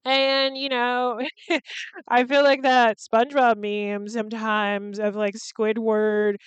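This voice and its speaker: A woman's voice: on the high side, level tone, takes it slowly, clear, resonant tone, no echo, muffled recording. A young woman hosting a solo podcast, chatting in a rambling, candid way with lots of "like" and some swearing. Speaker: podcast host.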